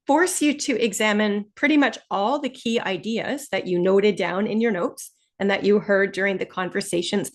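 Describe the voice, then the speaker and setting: A woman from Canada with an Ontario accent, teaching an online test-prep webinar in a friendly, explanatory way and slowing down to stress key points. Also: mid-range voice.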